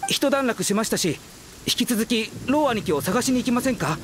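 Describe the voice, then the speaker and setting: A woman's voice: on the high side, animated, fairly quick, noisy background, close to an everyday voice. A woman playing an earnest, spirited young adventurer with a straightforward manner, in anime game style.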